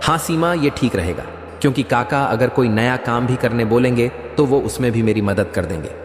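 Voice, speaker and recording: A man playing an earnest, worried farmer in a cartoon moral story, speaking in a deliberate, explanatory way. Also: mid-range voice, natural voice. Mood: hopeful. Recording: echoey, long reverb.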